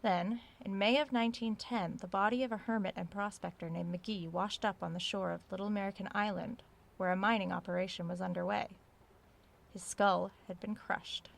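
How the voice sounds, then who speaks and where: An actress playing a curious, self-aware researcher in an audio drama, recording a spoken log in a conversational, measured way. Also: female voice, mid-range voice, steady tone, vocal fry, close to an everyday voice. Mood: absorbed, measured. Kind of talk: audio drama log, reading aloud and musing.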